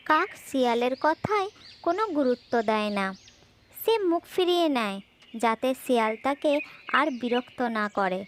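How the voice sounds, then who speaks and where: High-pitched woman narrating a children's fable. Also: expressive, unhurried, slight background noise. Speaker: storyteller.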